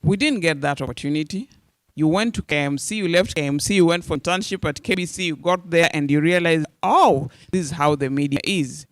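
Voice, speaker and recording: This is a Kenyan man in his forties with a somewhat high voice, talking to a room of media students as a radio journalist. He speaks in a direct, earnest way and calls them "you guys". The sound is close and dry, with no room echo. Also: a little fast.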